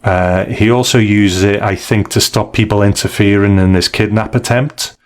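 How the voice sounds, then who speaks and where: Man, podcast co-host, lower register. Casual, thoughtful review talk, feeling his way through phrases. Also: steady tone, moderate pace. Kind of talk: casual discussion and story review.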